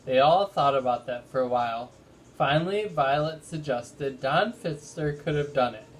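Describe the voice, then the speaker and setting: A man's voice: medium pitch, moderate pace. A man who talks casually and reads a children's story aloud like a teacher. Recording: noticeable background noise.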